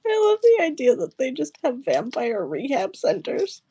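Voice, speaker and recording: A woman's voice: high voice, normal speed, breathy edge. A woman joking around as a player in a tabletop game. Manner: joking, lively. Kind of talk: casual chat at a tabletop game.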